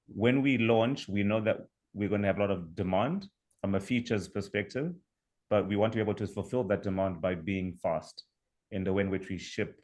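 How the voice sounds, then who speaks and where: Low-voiced zimbabwean guy pitching his startup on a video call, measured, bit of restarting. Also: a little mellow. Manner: measured, explanatory, with fillers and restarts. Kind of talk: online pitch presentation.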